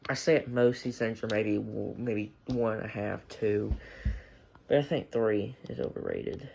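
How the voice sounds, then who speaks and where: Casual male hobbyist, informal and mumbly, creaky voice, unscripted home video with clear background noise and clicks. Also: on the low side, animated, a little slow, a little mellow. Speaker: hobbyist showing a weather radio collection. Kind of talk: casual unscripted show-and-tell. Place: room at home. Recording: noisy home recording with clicks.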